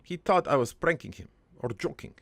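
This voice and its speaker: Man playing a gravelly, rambling old cartoon dad. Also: a little high, no room sound, full character voice. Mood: wistful. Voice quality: gravelly, creaky. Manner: rambling, halting storyteller with crude deadpan humour. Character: dead old ne'er-do-well father, crude, wistful, doting. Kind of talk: improvised first-person cartoon monologue.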